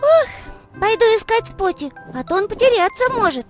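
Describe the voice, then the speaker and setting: A woman voicing a cheerful, caring little girl who takes charge in a children's educational cartoon, eager and expressive. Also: very high-pitched, moderate pace, over music. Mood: thoughtful, friendly.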